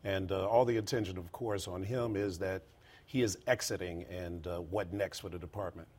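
A man's voice: low-pitched, steady tone, normal speed, a little mellow, breathy edge. A man who works as a radio journalist, chatting casually on a news panel. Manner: casual, conversational. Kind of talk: panel discussion.

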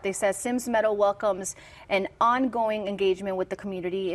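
A woman hosting a TV news interview, briskly introducing guests and asking questions. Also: mid-range voice. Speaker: TV news host.

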